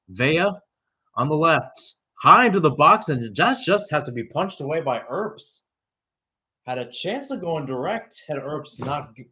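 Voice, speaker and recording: A man's voice: on the high side, sharp tone. A young man giving live radio-style soccer commentary, moving between calls of play and conversational analysis. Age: young adult.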